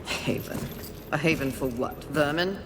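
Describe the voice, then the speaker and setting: A woman's voice: low voice, measured pace, big-room sound, very noisy. A woman voicing a haughty, impatient female warrior in a fantasy video game, with a sarcastic, scornful delivery.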